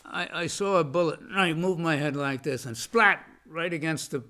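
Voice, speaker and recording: An older man, a veteran, recalling his past in an oral history interview, halting with many restarts and fillers and a loud voice. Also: fairly high pitch, slight background noise. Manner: says he has a loud voice.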